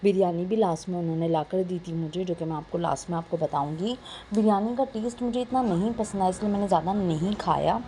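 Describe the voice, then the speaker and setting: A young adult woman with a sore, slightly hoarse throat, chattily narrating a casual family vlog voice-over and mixing in English words. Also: fairly low pitch, fast, warm tone, slight background noise. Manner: conversational, mixes in English words. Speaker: vlogger narrating a family outing.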